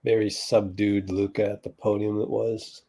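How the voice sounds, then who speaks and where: Low-voiced man casually hosting a livestream. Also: level tone, moderate pace, warm tone, breathy edge. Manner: offhand, juggling tasks while talking. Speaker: livestream host. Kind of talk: casual live-stream chat.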